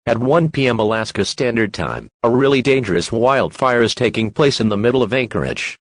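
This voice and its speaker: A man's voice: medium pitch, slightly rough, no room sound. A man reading a scripted emergency alert in a formal, impersonal, mechanical manner.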